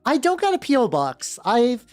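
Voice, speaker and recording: A woman's voice: a little high, wildly swooping pitch, moderate pace, dry recording. A woman hosting a live stream, chatting casually and reading fanfiction aloud with sweary, slangy, wry asides. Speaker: streamer, vtuber host. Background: background music.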